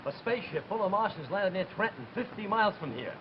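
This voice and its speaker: Breathless alarmed buddy, rapid lively delivery, dull noisy old TV audio. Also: male voice, sits high. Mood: excited alarm. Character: breathless excitable bearer of alarming news. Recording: muffled, noisy TV soundtrack.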